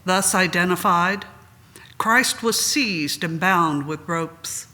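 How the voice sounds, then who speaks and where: A woman narrates a sacred story with a bright, forceful tone. She goes very slowly and dramatically, setting down each phrase with deliberation. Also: lowish voice. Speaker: reader at a church Good Friday service.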